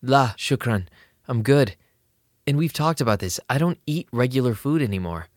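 An actor playing a young vampire DJ who narrates an audio drama in a casual, streetwise and sarcastic way. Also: male voice, mid-range voice, lively intonation, normal speed, no room sound, studio quality, lightly acted. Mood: patient, insistent. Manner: casual, wry. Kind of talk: first-person audio drama narration and dialogue. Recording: music behind the voice.

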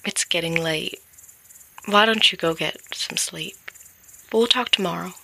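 Gravelly, bright-voiced soothing confidante. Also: female voice, fairly low pitch, a little slow, a little hiss or hum, heavily acted, poor audio. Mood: sympathetic, soothing. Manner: gentle, reassuring. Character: sympathetic, soothing woman confiding with a troubled man. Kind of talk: naturalistic audio drama acting.

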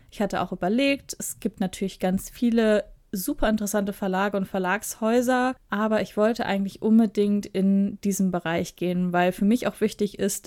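A woman's voice: medium pitch, darkish tone, dry recording, clean studio sound. A thirty-year-old woman from the Ruhr area, co-hosting a podcast in a chatty, enthusiastic way. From Ruhr area, Germany. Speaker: podcast co-host. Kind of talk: casual podcast chat.

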